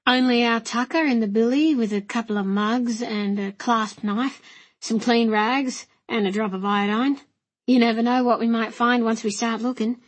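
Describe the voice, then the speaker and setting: A woman voicing a rough, cheery young coachman in an audiobook, blunt, colloquial and fatherly. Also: on the high side, steady tone, moderate pace, natural voice. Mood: brisk, teasing.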